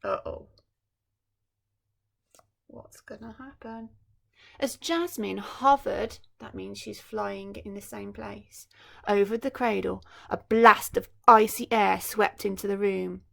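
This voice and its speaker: A woman's voice: mid-range voice, steady tone, slow, gravelly. A woman primary school teacher reading a story expressively, with explanatory asides for young children.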